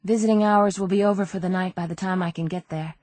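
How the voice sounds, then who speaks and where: A practical, determined woman, low voice, played by the narrator. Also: fairly even intonation, fast, darkish tone, dry recording, close to an everyday voice.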